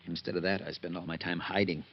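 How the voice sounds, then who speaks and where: An actor playing a jittery, worn-down embezzler on the run, edgy and bitter, in 1950s radio drama. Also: male voice, low-pitched, flat delivery, fast. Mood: bitter, weary. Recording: muffled old radio recording.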